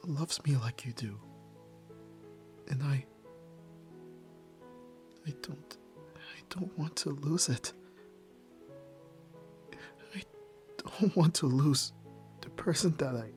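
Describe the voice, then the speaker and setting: A young man playing a guarded tsundere nerd turned yakuza in an ASMR roleplay, halting and stammering with breathy sighs, tender underneath. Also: a little high, a little mellow. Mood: pleading, tearful. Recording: music behind. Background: background music.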